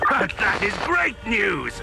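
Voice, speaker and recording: A grandiose knight pilot in a video game over loud music. His voice is high and gravelly, boasting with bombastic zeal and big swings of pitch. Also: huge pitch swings, takes it slowly, full character voice, poor audio. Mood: triumphant. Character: zealous, boastful heroic knight war-machine pilot.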